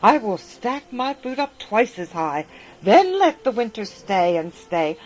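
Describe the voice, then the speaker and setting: A woman voicing a greedy man who shouts excitedly that he must gather more food. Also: mid-range voice, a little slow, low-quality recording. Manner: loud, excited, grasping.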